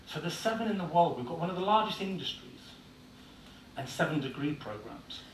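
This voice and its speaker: A man's voice: fairly high pitch, animated, a little fast. An Irish-born man with an English accent giving a blunt, informal conference talk with frequent filler words. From Ireland. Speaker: conference speaker. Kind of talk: conference presentation. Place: conference hall. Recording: echoey hall, distant mic, faint noise.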